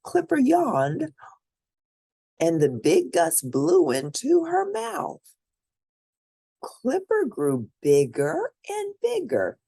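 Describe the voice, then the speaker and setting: A woman's voice: high voice, gravelly, slightly rough. A woman reading a children's picture book aloud expressively, as a storyteller for young listeners who points out the pictures. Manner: animated, pauses to point out pictures.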